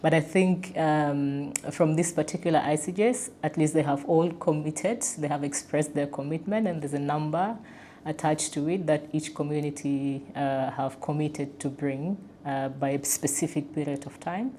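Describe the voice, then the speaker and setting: A woman's voice: sits low, fairly even intonation, moderate pace, mellow. A woman speaking as a podcast panelist, explaining reflectively and somewhat hesitantly. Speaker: podcast panelist, peace facilitator. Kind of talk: reflective discussion. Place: indoor room around a wooden table. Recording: clear background noise, room ambience.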